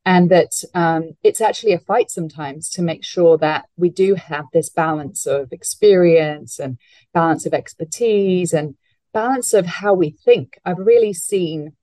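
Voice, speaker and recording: A woman hosting an interview podcast, talking thoughtfully with fillers and slowing down to stress key words. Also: fairly low pitch, mellow, no room sound. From Hong Kong. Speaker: podcast host. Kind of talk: conversational interview.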